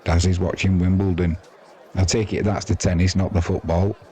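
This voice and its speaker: A man's voice: sits low, flat delivery, normal speed, warm tone. A man with an English accent hosting a live sports stream, giving casual, rambling, matey cricket commentary off the cuff. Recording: crowd noise behind the voice.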